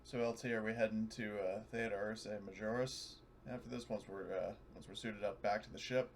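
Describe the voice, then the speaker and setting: A man's voice: on the low side, steady tone, brisk. A man playing a tabletop roleplaying game, chatting casually and explaining in a rambling way. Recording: faint background noise.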